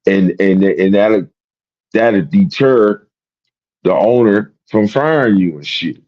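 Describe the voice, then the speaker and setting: Deep-voiced man ranting about basketball. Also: takes it slowly, darkish tone, dull audio. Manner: rambling, repetitive, profane. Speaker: sports podcast host. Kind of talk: casual sports commentary.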